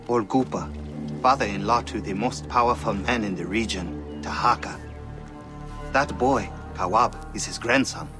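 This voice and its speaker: A man playing a grave informant who tells dark cautionary tales in a deliberate, ominous manner in a game cutscene. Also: on the low side, music in the background, close to an everyday voice. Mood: confiding. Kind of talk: video game cutscene storytelling.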